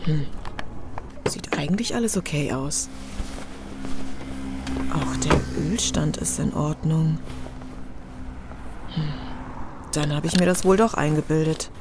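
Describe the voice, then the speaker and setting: An actress playing an anxious, hurried middle-aged mother in a horror audio drama, jumpy in manner. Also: low voice, very noisy. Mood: worried muttering.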